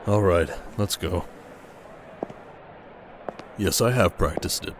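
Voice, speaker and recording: A man voices a desert king and protective husband in a fantasy ASMR drama. His voice sits low, and he carries himself with confident command and a dry, teasing wit. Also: slow pace, noisy background. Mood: teasing, affectionate. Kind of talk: dramatic ASMR roleplay acting.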